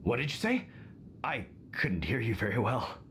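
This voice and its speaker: A man's voice: medium pitch, sing-song, moderate pace, a bit bright, a little hiss or hum. A man playing a sheepish, placating middle-aged hunter father who likes his drink in a video game.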